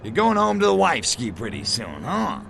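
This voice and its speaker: An actor playing a cocky, sarcastic little gray alien in a video game, with an American accent and a gravelly voice. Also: male voice, medium pitch, huge pitch swings, normal speed, fairly bright tone, a little hiss or hum, exaggerated voice. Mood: awkward bluffing. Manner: sarcastic, brash, drawling wiseguy. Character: small gray alien invader, cocky wisecracking male. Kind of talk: video game cutscene acting.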